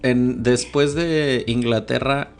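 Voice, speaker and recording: A man's voice: medium pitch, fairly even intonation, takes it slowly, gravelly, faint music behind. A man hosting a podcast, teasing his guest with jokes and crude slang. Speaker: podcast host. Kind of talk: casual conversational interview.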